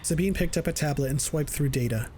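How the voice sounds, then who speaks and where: A man's voice: a little high, quick pace, a little mellow. Male audio drama narrator, faint background noise.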